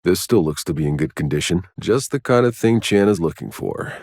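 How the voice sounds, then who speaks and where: An actor playing a gruff, world-weary soldier and scavenger in a video game, terse and dry, with a low husky voice. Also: male voice, lively intonation, brisk, darkish tone, dry recording. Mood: terse, serious.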